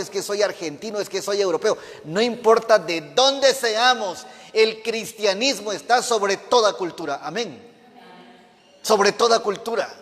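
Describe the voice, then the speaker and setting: A pastor with a notably high voice for a man, preaching with fervour and firing questions at his flock. His words ring out with the long reverb of a church hall. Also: a bit bright. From Mexico. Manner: fervent preaching with rhetorical questions to the congregation. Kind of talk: sermon.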